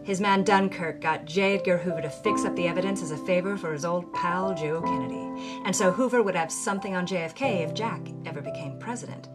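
A woman's voice: lowish voice, brisk, natural voice. Brassy 1950s starlet telling her tale, an actress. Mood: brassy relish. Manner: punchy, hard-boiled, savouring storyteller. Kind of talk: dramatic first-person audio memoir. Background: music under the voice.

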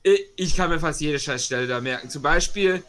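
A man's voice: on the high side, a little fast, fairly bright tone. A man talking casually as a player in an online tabletop game.

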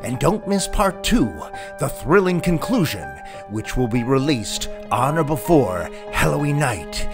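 A man's voice: mid-range voice, sing-song, a little slow, music in the background. A man giving a dramatic scripted promo announcement as an announcer.

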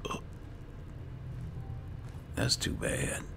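A man murmuring low-key in-character gameplay commentary, often half-whispering as if musing to himself. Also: extremely slow, noisy background. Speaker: gaming video host playing a roleplay character.

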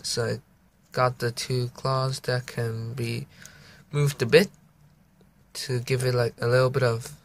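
A young man giving a casual, unscripted show-and-tell in a halting, informal way, recording on a handheld phone in a room at home. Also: medium pitch, fairly bright tone, slight background noise. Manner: halting, informal, stops to narrate handling the pieces. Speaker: hobby video creator presenting a toy build. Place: indoors, small room at home. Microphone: handheld phone behind the camera.

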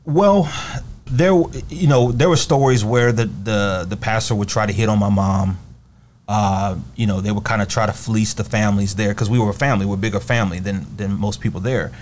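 A middle-aged man speaks as a podcast guest in a small studio, his voice pitched on the low side. He talks earnestly into a close studio mic, telling his story in an easy, conversational flow and chiming in with quick agreement. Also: darkish tone, slight background noise. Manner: earnest, agreeable, backs the host with quick affirmations. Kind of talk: casual interview storytelling. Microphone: studio microphone close up, wearing headphones.

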